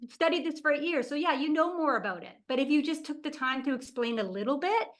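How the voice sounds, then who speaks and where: A woman's voice: high-pitched, expressive, fast talker. A woman in a casual book club discussion, talking earnestly and emotionally about a book that moved her.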